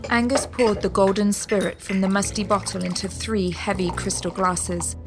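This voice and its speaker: A woman narrating an audio drama in measured, descriptive storytelling. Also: mid-range voice, steady tone, over music.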